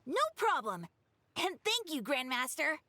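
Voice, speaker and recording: A woman's voice: sits high, very animated, unhurried, background noise. A woman voicing an eager, polite little boy in a video game, earnest and a little hesitant, with music underneath. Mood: thoughtful, hesitant.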